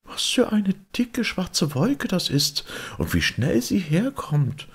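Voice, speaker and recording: A man voicing a young, gentle and polite storybook girl with a wondering manner. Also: sits high, very animated, takes it slowly, slightly rough, voice pushed up, full character voice. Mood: wondering, uneasy.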